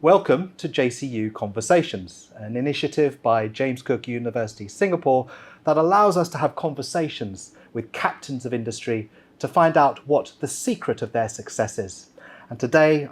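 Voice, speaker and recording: A man hosting a university podcast interview, speaking formally and full of praise for his guest. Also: mid-range voice. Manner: formal, effusive. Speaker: podcast host, professor.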